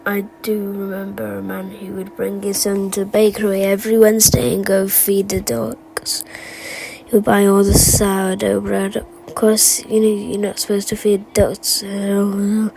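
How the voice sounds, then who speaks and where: A woman voicing an absent-minded animatronic bear from a game, who tells stories in a halting, stammering, deadpan way and wanders off the point. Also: mid-range voice, creaky, a little hiss or hum, low-quality recording. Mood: rambling, musing. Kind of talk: fan recital of game voice lines.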